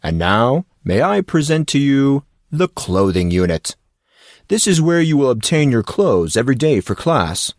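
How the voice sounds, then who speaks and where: A man's voice: mid-range voice, big pitch swings, unhurried, no room sound, clean studio sound, voice pushed up. A man voicing an eccentric male coach who speaks in stiff, courtly phrases. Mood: grandly polite. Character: formal eccentric male coach, grandly polite.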